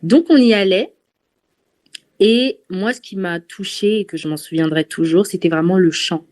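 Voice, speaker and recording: A woman from France giving a personal testimony to a church gathering, pausing often and restarting her sentences. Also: mid-range voice, darkish tone. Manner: hesitant, many fillers and restarts.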